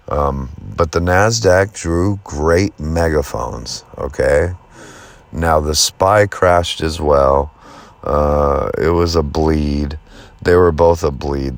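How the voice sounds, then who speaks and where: Male video host, extremely low voice, unhurried delivery, folksy and self-deprecating, talking markets off the cuff. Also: no echo. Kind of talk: casual off-the-cuff market commentary.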